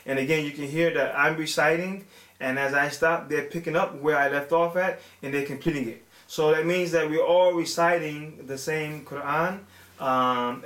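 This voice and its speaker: A man in his thirties, a father and religious teacher, gives an informal talk to viewers with many pauses, fillers and restarts. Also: mid-range voice, a bit bright. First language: English. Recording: echoey room.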